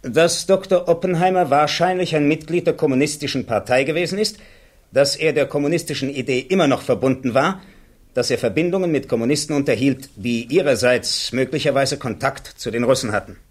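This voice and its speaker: An actor playing a blunt, confident army security officer who testifies in clipped, matter-of-fact answers in a radio play. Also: male voice, mid-range voice, brisk, lightly acted. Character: blunt, self-assured male army colonel, security officer.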